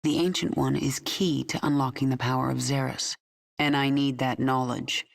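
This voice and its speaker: A woman's voice: very deep voice, steady tone, normal speed, gravelly, exaggerated voice. An actress playing a commanding, determined alien swarm queen in a video game, speaking with steely authority. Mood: intent. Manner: authoritative, resolute. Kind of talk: video game cinematic acting. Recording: game music behind.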